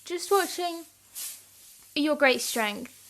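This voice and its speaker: A woman's voice: high-pitched, animated, very slow, fairly bright tone, heavily acted. A young woman playing a curious, teasing little farm girl in an audio play.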